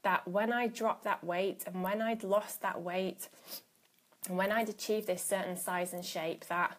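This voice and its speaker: A woman's voice: mid-range voice, fairly even intonation, unhurried. A woman in her thirties chats to her viewers on a live stream, greeting them by name as they join. She talks casually and often restarts her phrases, recorded on a phone or laptop at home. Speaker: coach hosting a live stream.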